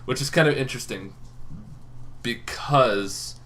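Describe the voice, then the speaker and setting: A man's voice: medium pitch, a little slow, fairly bright tone. A young man casually explaining tabletop game monsters, rambling with frequent ums and restarts. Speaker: tabletop game video host.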